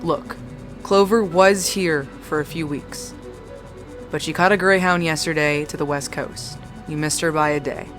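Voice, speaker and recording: A world-weary young woman behind the bar in a noir audio drama. Her voice sits low, and she talks bluntly with dry sarcasm and easy profanity. Music plays clearly underneath. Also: steady tone, measured pace. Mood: tense, sarcastic. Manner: wry, blunt, heavy casual swearing. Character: foul-mouthed, sardonic, world-weary young bartender. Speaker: bartender. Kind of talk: cinematic audio drama acting.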